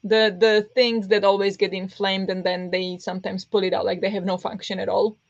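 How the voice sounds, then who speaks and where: Chatty woman with a pressed, raspy-prone voice. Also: medium pitch, level tone, fairly quick, dry recording. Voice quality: pressed voice that turns raspy easily. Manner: rambling, with many fillers and restarts. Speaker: book streamer hosting reading sprints. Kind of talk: casual livestream chat with viewers. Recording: dull lo-fi dry sound.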